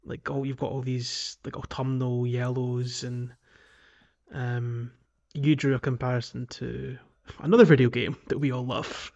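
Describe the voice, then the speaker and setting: A man co-hosting a podcast in casual conversation about video games, halting as he thinks aloud. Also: medium pitch, flat delivery, gravelly, a little mellow, highs cut off. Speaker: podcast host. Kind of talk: casual video game discussion.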